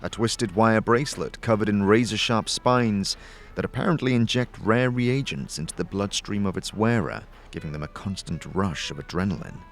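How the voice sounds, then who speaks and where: A man's voice: lowish voice, expressive, moderate pace. A man narrating a fantasy audio drama in a dramatic, storytelling style. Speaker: narrator, game-story performer.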